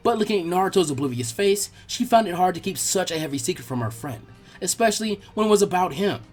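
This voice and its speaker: A man reading fan fiction aloud as an expressive scripted narration. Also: high voice, fast.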